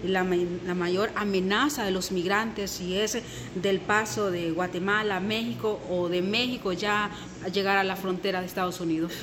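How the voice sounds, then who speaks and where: A woman's voice: medium pitch, fairly even intonation, moderate pace, background noise. A woman field reporter asking formal questions, addressing her guest by title. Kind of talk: news interview. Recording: loud outdoor ambience.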